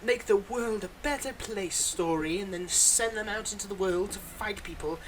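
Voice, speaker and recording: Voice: mid-range voice, moderate pace, close to an everyday voice. A performer in an audio roleplay playing a theatrical, sarcastic supervillain who teases and grumbles with mock condescension, over a noisy background. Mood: indignant, chatty. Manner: mock-condescending, dramatic, chatty asides. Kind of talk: scripted audio roleplay monologue.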